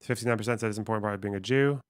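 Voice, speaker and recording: A man's voice: fairly low pitch, very fast, smooth, no echo, clean studio sound. A man streaming, reading text aloud and musing on it casually, swearing offhandedly. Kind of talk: casual livestream commentary.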